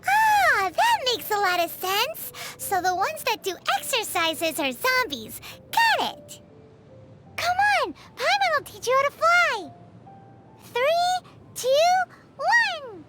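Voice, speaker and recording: A woman voicing a tiny, childlike fairy companion in a video game, with a squeaky voice and a perky, eager manner. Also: big pitch swings, takes it slowly, sharp tone, soft background music, full character voice. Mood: curious, excited. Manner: perky, refers to self in third person.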